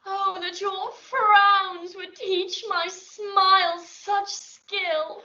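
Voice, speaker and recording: A lovesick young woman with a very high, piercing voice, envious and plaintive. She draws out her Shakespearean verse theatrically. The audio drama sounds muffled and distant. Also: level tone, very slow, sharp tone, heavily acted. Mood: bitter, pining.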